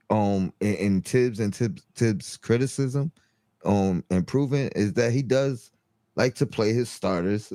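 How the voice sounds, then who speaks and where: A man's voice: fairly low pitch, mellow, dry recording, clean studio sound. A man giving casual sports analysis, stumbling and restarting often and repeating "you know what I mean".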